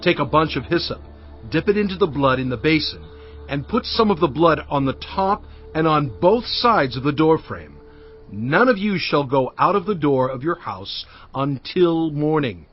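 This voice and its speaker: An actor playing an earnest Hebrew leader who gives emphatic instructions to the elders. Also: male voice, fairly high pitch, expressive, moderate pace, faint music behind, lightly acted. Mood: commanding. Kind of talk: dramatized scripture reading. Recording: dry, dull audio.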